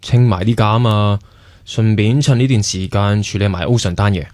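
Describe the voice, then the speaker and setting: An actor plays an easygoing but guarded older brother in a radio drama. He is a young man with a fairly low voice and a Hong Kong Cantonese accent. His delivery is laid-back and understated, recorded dry. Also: steady tone, normal speed, darkish tone, no echo, lightly acted. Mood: calm, guarded.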